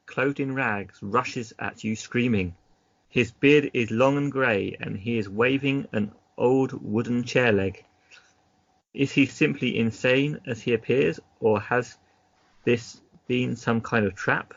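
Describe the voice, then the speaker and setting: A man's voice: medium pitch, takes it slowly, creaky, fairly bright tone, no echo, muffled recording. A man narrating an adventure gamebook as game master, casually, breaking off into chatty asides.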